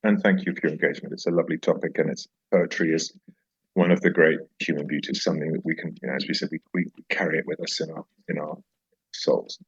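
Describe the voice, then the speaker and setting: A man's voice: rumbling low, fairly even intonation, quick pace, breathy edge, muffled recording. A man speaking reflectively as an interview guest, emphatic and repeating phrases for effect. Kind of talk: reflective interview conversation with recited verse.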